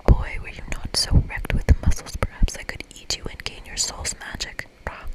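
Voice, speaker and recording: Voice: normal speed, close to an everyday voice. A performer voicing a mean, very old forest witch in a whisper, with pompous, scolding exclamations, for an ASMR video game reading. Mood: indignant, scornful.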